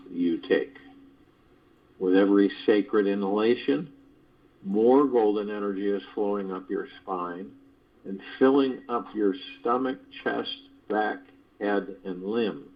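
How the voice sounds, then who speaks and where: A man with a fairly low, husky voice guides a meditation at a very slow pace. His phrasing is deliberate and measured, with pauses between thoughts. The sound is dull and lo-fi, as on a conference call. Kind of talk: guided meditation, spiritual motivational talk. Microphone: phone conference call.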